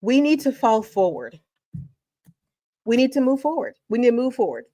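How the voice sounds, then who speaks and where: A woman's voice: a little high, very animated, normal speed, dark tone, dry recording. Emphatic woman, motivational commentary. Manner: emphatic, repeats phrases for effect. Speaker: educator and channel host.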